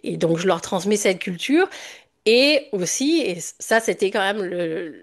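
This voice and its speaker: A woman's voice: mid-range voice, expressive, measured pace. A woman from France with a French accent, speaking as a podcast guest in a casual, reflective and somewhat hesitant way. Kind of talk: casual interview conversation.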